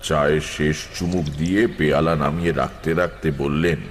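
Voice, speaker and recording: A man narrating a first-person horror story in a dramatic, suspenseful, expressive scripted style. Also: rumbling low, moderate pace, a little hiss or hum. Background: prominent music.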